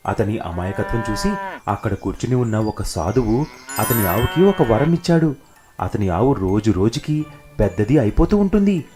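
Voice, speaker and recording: A man's voice: fairly low pitch, sing-song, normal speed, dark tone. A man narrating a scripted children's story. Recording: music behind the narration.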